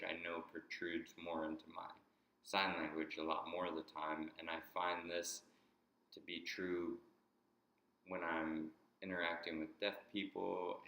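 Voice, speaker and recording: A deep-voiced man talks through an idea in a halting way, restarting and repeating words as he goes. His tone is bright and forceful despite the low pitch, and the room around him rings with echo. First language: English. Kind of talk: explanatory monologue.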